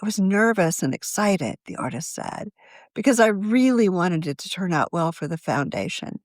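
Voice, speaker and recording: A woman reading a written article aloud as a magazine editor, in a measured, journalistic manner of scripted narration. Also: on the low side, very animated, no room sound, clean studio sound.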